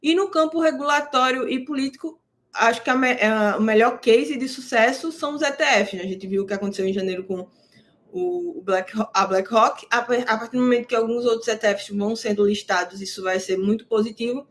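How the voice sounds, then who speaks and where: A woman host talking through crypto and interest-rate news in a relaxed, conversational way, explaining jargon plainly and often checking in with her listeners. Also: a little high, brisk. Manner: explains terms plainly for beginners. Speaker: crypto market commentator. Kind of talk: casual market analysis to camera.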